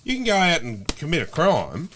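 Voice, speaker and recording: A middle-aged Australian man chatting casually about rugby league as a podcast co-host, excitable and quick to jump in. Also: a little high, crowd noise. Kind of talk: casual sports chat.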